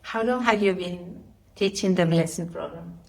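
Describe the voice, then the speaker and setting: Female host, lowish register, courteous and formal, reading out prepared interview questions. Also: moderate pace, darkish tone.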